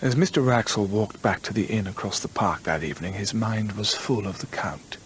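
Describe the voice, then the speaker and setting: An actor playing an earnest storyteller who believes in the supernatural, measured and conversational, in a radio drama. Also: male voice, on the low side, slight background noise, lightly acted. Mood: absorbed storytelling.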